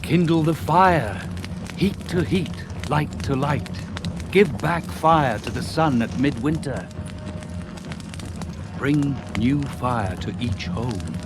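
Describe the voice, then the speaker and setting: An actor playing a solemn hunter-shaman in a 1980s radio drama, chanting slow, ceremonial poetic invocations. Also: mid-range voice, animated, over music. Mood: commanding. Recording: noisy old off-air radio recording.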